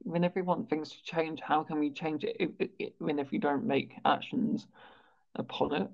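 A man with a stammer who speaks haltingly, repeating words and sounds as he hosts a conversational interview. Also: a little high, fairly even intonation, gravelly, darkish tone. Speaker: interviewer and stammering awareness campaigner. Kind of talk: conversational interview on a video call. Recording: dull, lo-fi video call audio.

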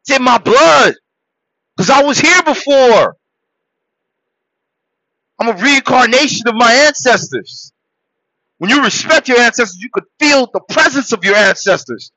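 A man born in Haiti preaches to his listeners in a high, bright, forceful voice, shouting some points and dropping to a low murmur for others. The recording is dull and dry, with no room sound. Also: expressive, unhurried, long pauses, no echo. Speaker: podcast host. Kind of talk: motivational monologue.

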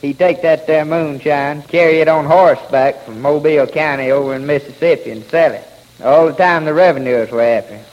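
Male witness, folksy. Slightly high voice in dull, reverberant vintage audio. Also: a little fast. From Southern US. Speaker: local eyewitness. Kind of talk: folksy recounting on old radio.

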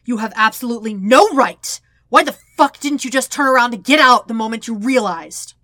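A woman's voice: a little high, a little fast, bright, forceful tone, dry recording, clean studio sound, pitched above the performer's own voice. A woman voicing an angry, proud, wounded teenage boy in an audiobook story, gasping and snarling as the words are spat out. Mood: furious.

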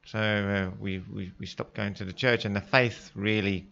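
English man, radio studio guest, low gravelly voice, relaxed talk, dull lo-fi sound. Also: moderate pace. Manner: relaxed, conversational, with Arabic greetings. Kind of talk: casual radio interview.

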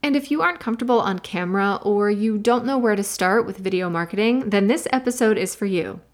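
A woman's voice: mid-range voice, brisk. A woman hosting a marketing podcast, introducing her guest and chatting in an upbeat, friendly way. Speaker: podcast host. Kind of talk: scripted intro then casual interview chat.

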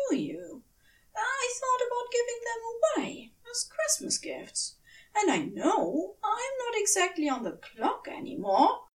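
A fussy, anxious angel gentleman played by a woman, pitched very high and slightly distant from the mic. He is gentle and prim, apologising and justifying himself as a character in a narrated story. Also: heavily acted.

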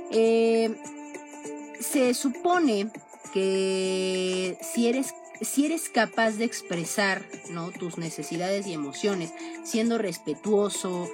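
A woman hosting a podcast, talking casually and tagging most sentences with a questioning "no?". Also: medium pitch. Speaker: podcast host. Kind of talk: casual monologue. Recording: music playing under the voice.

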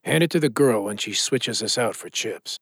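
An actor playing a streetwise, commanding gambler in trouble, giving clipped orders in a noir audio drama. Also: male voice, lowish voice, lively intonation, normal speed, fairly bright tone, slightly rough, no room sound, clean studio sound, heavily acted. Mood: guarded, instructing.

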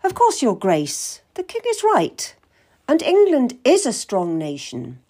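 A woman reading an audiobook voices a pompous, patronizing older male cardinal in a smooth, condescending, deliberate way. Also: fairly high pitch, huge pitch swings, warm tone, voice pushed up, full character voice. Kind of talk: audiobook character voice.

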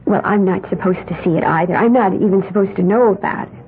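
A woman's voice: mid-range voice, fast talker, phone-quality sound, a little hiss or hum, lightly acted. An actress playing a dreamy young woman with odd beliefs who babbles in a wide-eyed, sing-song way, in a 1970s radio drama. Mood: earnest, dreamy. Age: young adult. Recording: band-limited old radio broadcast.

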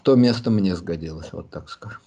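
A man answering listeners' questions in a reflective monologue, thoughtful and pausing often with hesitations. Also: deep voice, dark tone, breathy edge. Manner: thoughtful, pauses with many hesitations.

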